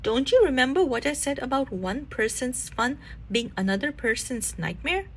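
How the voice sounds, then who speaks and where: A woman voicing a motherly female bear in a children's storybook, measured and gently reproving. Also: high-pitched, expressive, husky, heavily acted. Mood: reproachful.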